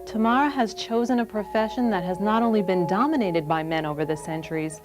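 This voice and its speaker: Woman narrator, mid-pitched, over music. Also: fairly quick. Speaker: documentary narrator. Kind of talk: scripted narration.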